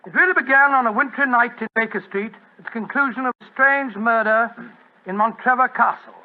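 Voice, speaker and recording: An actor playing a genial elderly English doctor with a British accent and an avuncular, bumbling manner, in 1940s radio style. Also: male voice, very high-pitched, fairly quick. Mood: nostalgic, cosy. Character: genial elderly English doctor reminiscing, loyal detective's companion. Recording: muffled old-time radio recording.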